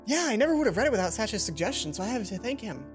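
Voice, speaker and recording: A woman's voice: medium pitch, sing-song, rapid-fire, pitched above the performer's own voice, natural voice. A woman voicing a downcast, polite young student heroine in a visual novel, with a hesitant manner. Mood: polite, reluctant. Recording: music under the voice.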